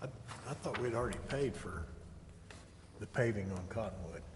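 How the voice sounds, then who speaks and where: A man's voice: lowish voice, animated, moderate pace. Male council member, brief casual remarks, heavy room sound, audible noise. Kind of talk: brief informal meeting remarks. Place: indoor council chamber. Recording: echoey chamber, clear background noise.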